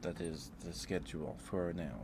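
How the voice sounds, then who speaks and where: A man's voice: low-pitched, fairly even intonation, measured pace, background noise. A man hosting a casual livestream, thinking aloud in a hesitant way. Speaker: livestream host.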